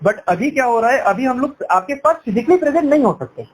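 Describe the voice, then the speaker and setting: A man's voice: extremely high pitch, lively intonation, fast talker, slightly rough. A man working as an education sales counselor, explaining persuasively on a call, mixing Hindi and English and checking in with tag questions. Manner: switches between Hindi and English. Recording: noisy sales call recording.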